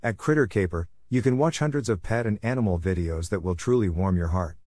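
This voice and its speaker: Deep-voiced male podcast host, dry close sound. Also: fairly quick, a little mellow, no echo. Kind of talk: read podcast introduction with sponsor message.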